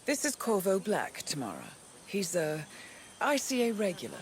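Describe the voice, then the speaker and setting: A woman's voice: medium pitch, wildly swooping pitch, normal speed, slight background noise. A woman playing a composed, drily pointed professional in a video game. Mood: poised, pointed. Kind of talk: video game character acting.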